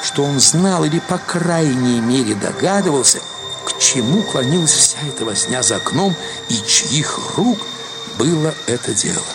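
Male narrator for dramatic literary audiobook, mid-pitched and very varied in melody, over prominent music. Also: lively intonation, moderate pace, rough recording. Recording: loud music behind the voice. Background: loud music.

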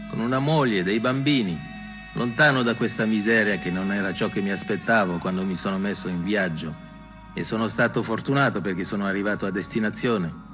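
A weary migrant street vendor, played by a male actor, speaks in a low voice about a hard life. The delivery is a theatrical monologue full of longing for home, ringing in a long hall reverb with music behind it. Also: a bit bright, natural voice.

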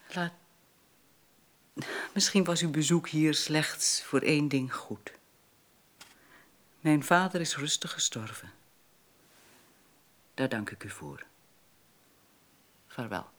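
Radio drama: proud, wounded lover, very slow, deliberate, reproachful. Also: female voice, sits low, animated, exaggerated voice. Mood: resigned. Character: proud, wounded woman, bitter then resigned.

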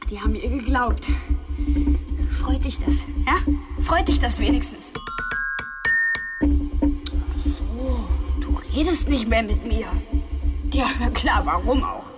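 A bitter, jealous drinker in a radio drama over loud music. Her voice is fairly high and she drags her words slowly, needling and sarcastic. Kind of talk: German radio drama acting.

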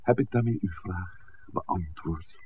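An actor playing a dignified, evasive village priest in a 1960s Dutch radio drama, speaking formally and deliberately. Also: male voice, low voice, husky. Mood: measured, evasive. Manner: formal, deliberate, wordy, hesitant at times. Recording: muffled, noisy old radio recording.

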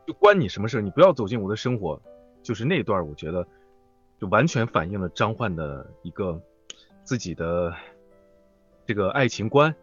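A man with a husky voice talking casually as a voice actor guest in an interview. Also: fairly low pitch, big pitch swings, fairly quick, long pauses. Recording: dull online call audio, music behind. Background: music.

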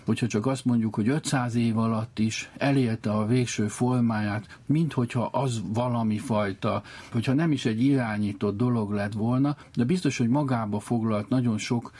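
A man's voice: mid-range voice, steady tone, few pauses, darkish tone, slightly rough. A man, a chess expert guest, musing in a loose, searching way. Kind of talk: reflective spoken commentary.

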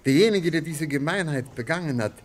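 An actor as an elderly, courteous, shrewd gentleman. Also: a little high, lively intonation, close to an everyday voice. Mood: courteous, sly. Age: older. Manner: formal, measured, politely ornate. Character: elderly, courteous, shrewd antiques dealer and astrologer. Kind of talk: German radio play acting.

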